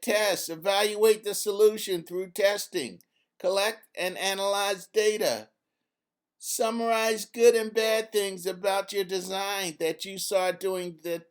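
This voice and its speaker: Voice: medium pitch, pitched above the performer's own voice, lightly acted. A storybook narrator voicing a confident girl scientist who teaches in a firm, friendly, emphatic way. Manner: emphatic, instructive, clearly enumerating.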